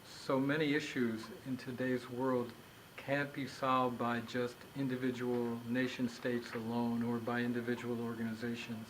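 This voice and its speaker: An older man explains, in a steady, nearly monotone delivery, with many "um" pauses. His voice sits in a middle register. It is recorded in an indoor office with a faint hiss behind it. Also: medium pitch, low-quality recording. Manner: measured, with frequent filler pauses. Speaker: executive director of the organization. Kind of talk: explanatory interview talk.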